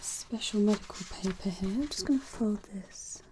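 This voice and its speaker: Softly whispering ASMR woman, mellow. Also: medium pitch, slight background noise, poor audio. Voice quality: breathy whisper. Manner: gentle, reassuring, unhurried instructions. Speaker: ASMR roleplay host playing an examiner. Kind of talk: ASMR roleplay, soft whispering.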